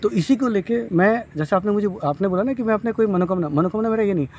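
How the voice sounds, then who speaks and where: A man's voice: sits high, fast, a little mellow, highs cut off, slight background noise. A man from Uttarakhand on a long walking pilgrimage, earnestly explaining his views with many restarts, interviewed outdoors by a road. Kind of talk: roadside interview.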